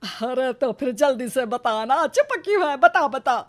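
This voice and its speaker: Woman acting a slow, plaintive, aggrieved mother-in-law. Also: high-pitched, expressive. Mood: eager. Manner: plaintive, theatrical complaining. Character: aggrieved, jealous older mother-in-law, dramatically self-pitying. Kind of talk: acted dialogue in a Hindi moral story cartoon.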